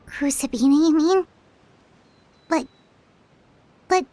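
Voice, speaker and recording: A woman's voice: sits high, slow, warm tone. A woman voicing a small, childlike fairy companion in a video game, earnest, wide-eyed and easily shocked. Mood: shocked, hesitant.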